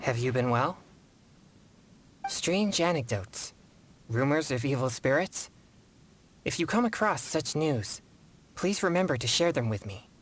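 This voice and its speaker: A man's voice: a little high, animated, measured pace, pauses often, fairly bright tone, a little hiss or hum. A man voicing a serious, polite teenage boy exorcist in a video game, speaking in a formal, earnest and courteous manner. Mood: polite, composed. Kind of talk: scripted video game voice acting.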